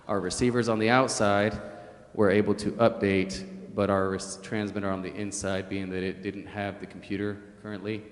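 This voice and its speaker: A man's voice: lowish voice, little pitch movement, normal speed. A man giving an informal briefing as a city official at a public meeting. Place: large indoor council chamber. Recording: very echoey hall sound.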